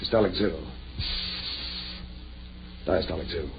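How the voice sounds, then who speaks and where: A gravelly, lowish voice belongs to an uneasy male assistant, full of doubt and questions. The delivery is 1940s radio horror drama, on a dull old recording with some hum. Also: a little slow, loud music behind the voice. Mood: uneasy, amazed. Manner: hesitant, questioning. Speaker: actor in a radio play.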